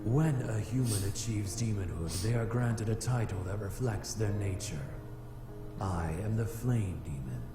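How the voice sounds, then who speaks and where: An actor playing an aloof, proud flame demon in a visual novel game, speaking formally and easily flustered. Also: male voice, on the low side, lightly acted. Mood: solemn, guarded. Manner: formal, measured, haughty wording. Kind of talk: scripted visual novel character acting. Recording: echoey voice over background music.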